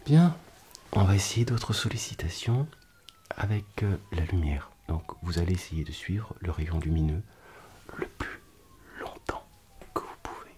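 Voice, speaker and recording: A man playing a grumpy yet soothing specialist doctor in an ASMR roleplay, murmuring in an unhurried, slightly pompous way. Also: deep voice, darkish tone, slight background noise. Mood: murmuring, gentle. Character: grumpy, soothing male specialist doctor called out on Christmas Eve. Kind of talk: French ASMR roleplay, whispered acting.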